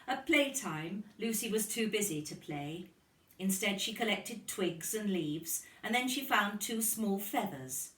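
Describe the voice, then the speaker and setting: A woman tells a children's story slowly and deliberately. Her intonation is lively and expressive. She sounds a little away from the microphone in an echoey room. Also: mid-range voice. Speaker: kindergarten teacher reading aloud. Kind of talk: storybook narration for young children. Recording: roomy, distant microphone.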